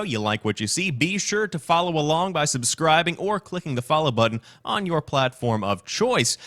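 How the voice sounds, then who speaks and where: Bright, animated male gaming news host. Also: medium pitch. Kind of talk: upbeat scripted news show presenting with commentary.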